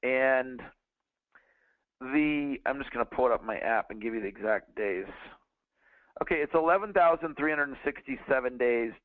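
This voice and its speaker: A man's voice: mid-range voice, no echo. A man in his forties, a sales trainer, talks earnestly and conversationally, often restarting his sentences. His voice comes through a narrow, tinny phone line. The sound is dry, with no room around it. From California. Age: 40s. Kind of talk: motivational teaching call.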